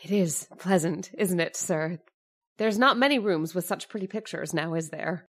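A woman's voice: on the low side, moderate pace. A woman playing an anxious, thrifty landlady who stammers and fusses to please, in a radio drama. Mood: eager. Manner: flustered and stammering, eager to please. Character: anxious, eager landlady, middle-aged, thrifty.

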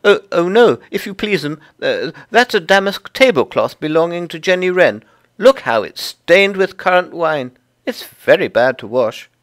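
Man putting on a high-pitched fussy hedgehog washerwoman. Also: sing-song, moderate pace, fairly bright tone. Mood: apologetic, fussy. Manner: bobbing, deferential, flustered, eager to explain. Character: fussy, polite, stout little hedgehog washerwoman. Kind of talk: storybook character voice.

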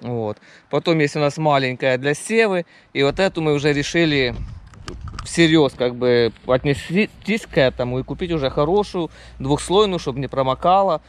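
A man's voice: fairly high pitch, moderate pace. A man narrating a casual camping vlog outdoors, talking to viewers. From Ukraine. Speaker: camping vlogger. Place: outdoors at a forest campsite.

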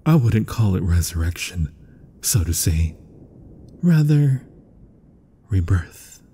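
A man playing a proud, obsessive mad scientist in a gothic horror ASMR roleplay, husky and breathy, speaking formally and theatrically and often dropping toward a whisper. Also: deep voice, big pitch swings, takes it slowly, dark tone, slight background noise, heavily acted. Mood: hushed, grave.

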